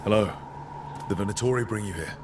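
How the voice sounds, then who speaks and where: A man's voice: on the low side, fairly quick, noisy background, natural voice. An actor playing a plain-spoken male adventurer hero in a video game, with a direct, informal manner. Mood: matter-of-fact.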